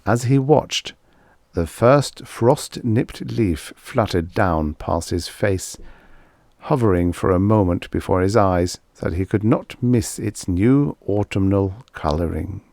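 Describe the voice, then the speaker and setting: A man's voice: low voice, slow, creaky, a little mellow, no echo, clean studio sound. A man reading a scripted story as an audiobook narrator.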